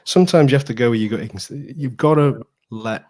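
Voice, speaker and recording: A man's voice: medium pitch, brisk, a little mellow. A man chatting casually and with strong opinions as a football podcast guest, emphatic and repeating phrases to drive points home.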